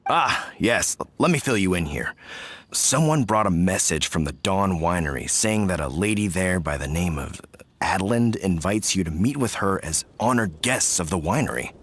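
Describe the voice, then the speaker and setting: A man voicing a polite, helpful talking raven attendant with a husky voice in a video game. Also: low voice, animated, moderate pace, fairly bright tone, heavily acted. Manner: polite, formal. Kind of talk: anime-style video game voice acting.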